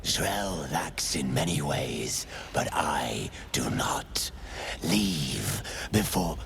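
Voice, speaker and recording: An actor playing a menacing, domineering villain in a dark fantasy video game, with an imperious manner. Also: male voice, rumbling low, animated, drawn-out words, vocal fry, a little hiss or hum, full character voice. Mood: cold menace.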